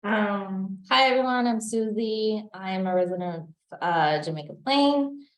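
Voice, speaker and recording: A woman's voice: medium pitch, measured pace. Echoey, informal young woman from Greece. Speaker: executive director of a city commission. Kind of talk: informal self-introduction at a public meeting. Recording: echoey meeting room.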